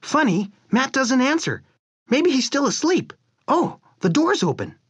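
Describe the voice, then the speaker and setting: An actor playing a fretful, good-hearted office partner, earnest and fussing, in a comic-book drama. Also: male voice, very high voice, big pitch swings, a little slow, fairly bright tone, slightly rough, full character voice. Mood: worried, puzzled. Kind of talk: dramatized comic-book read-along acting.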